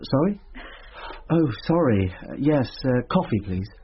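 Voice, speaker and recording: An actor playing an earnest, kindly, preoccupied schoolmaster in a radio drama, British, with a hesitant, gently concerned way of talking. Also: male voice, medium pitch, big pitch swings, a little slow, dull audio, a little hiss or hum. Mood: distracted, curious. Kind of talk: naturalistic radio drama acting.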